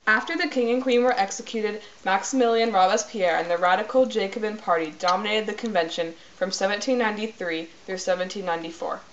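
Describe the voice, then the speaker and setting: A woman's voice: medium pitch, fast talker, hardly any pauses. A teenage female student reading a scripted history narration. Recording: dull, faintly noisy amateur recording.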